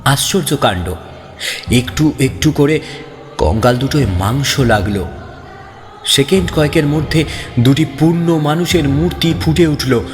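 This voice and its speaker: A man's voice: fairly high pitch, measured pace, long pauses. A man reading a scripted ghost story as a narrator, in a dramatic, suspenseful and theatrical manner. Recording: long reverb, background music. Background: music.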